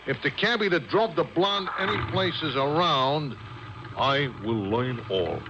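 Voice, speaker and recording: High-pitched, animated New York cabbie. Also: male voice, measured pace. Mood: eager, jokey. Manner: folksy, colloquial, comic patter. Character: cheerful, eager, wisecracking male New York cabbie. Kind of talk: 1950s radio drama acting. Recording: noisy old radio broadcast tape.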